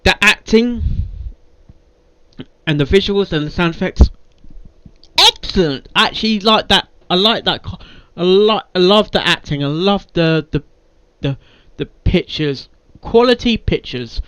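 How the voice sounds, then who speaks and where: A person giving casual, unscripted reaction commentary in an excitable, halting way, often repeating words. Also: medium pitch, smooth, dry recording. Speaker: reaction video maker reviewing a fan fiction reading.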